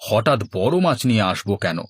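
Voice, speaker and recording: A man's voice: on the low side, big pitch swings, moderate pace, breathy edge. A man voicing a henpecked village husband in a cartoon, placating and grumbling with dry jibes. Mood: exasperated, resigned. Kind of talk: Bangla cartoon voice acting.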